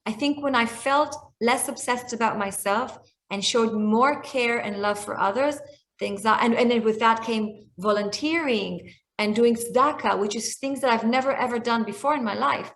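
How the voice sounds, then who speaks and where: A woman's voice: a little high, normal speed, a bit bright. Reflective woman in her forties. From Israel. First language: Hebrew. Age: 40s. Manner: reflective, many restarts and fillers. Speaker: guest speaker. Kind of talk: video call conversation. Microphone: video call.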